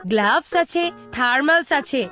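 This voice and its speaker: High, animated cartoon mom. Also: female voice, normal speed, faint music behind. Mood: firm. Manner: animated, firm. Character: firm, practical mother in a family cartoon. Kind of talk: Bangla cartoon dubbing.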